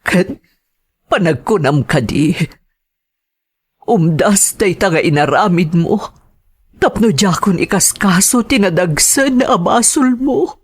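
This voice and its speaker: A woman's voice: lowish voice, lively intonation, takes it slowly, pauses often, breathy edge, full character voice. A woman with an Ilocano Filipino accent playing a hurt, reproachful middle-aged wife in an audio drama, emotional and aggrieved. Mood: bitter. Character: wounded, reproachful wife long abandoned by her husband.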